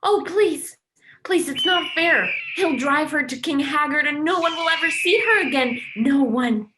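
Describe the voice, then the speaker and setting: A woman's voice: high-pitched, animated, a little slow, very noisy. A woman reading a blunt, pleading young woman. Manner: pleading, scolding. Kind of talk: play table read.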